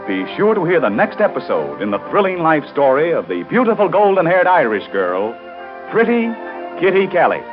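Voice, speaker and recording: A man's voice: a little high, wildly swooping pitch, moderate pace. A man giving a dramatic 1930s soap opera announcement. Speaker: radio announcer. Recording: muffled old radio recording. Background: loud music.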